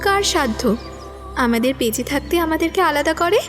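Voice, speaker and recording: A lovesick young bride, high and impassioned. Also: female voice, lively intonation, moderate pace, a bit bright, in a large hall, soft background music. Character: lovesick young woman, devoted and feverish. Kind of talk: audio drama acting.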